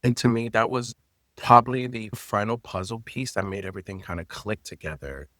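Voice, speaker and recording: A man in his late thirties, a native Haitian Creole speaker, telling his story in an interview in an expressive, personal way with loose phrasing. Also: lowish voice, a little fast, no echo, clean studio sound. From Florida, United States. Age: late 30s. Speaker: guest, intimacy coordinator and teacher.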